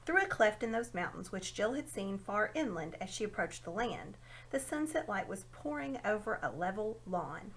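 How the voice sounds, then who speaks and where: A woman giving an expressive read-aloud narration of a children's fantasy story. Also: mid-range voice, normal speed, a little hiss or hum. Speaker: storybook reader.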